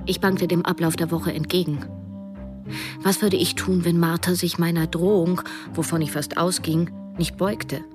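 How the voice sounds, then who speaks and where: An actress as a troubled, self-questioning woman, telling her story as first-person narrator in an introspective, deliberate way. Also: lowish voice, flat delivery, over music, close to an everyday voice. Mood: brooding. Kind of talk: scripted radio drama narration.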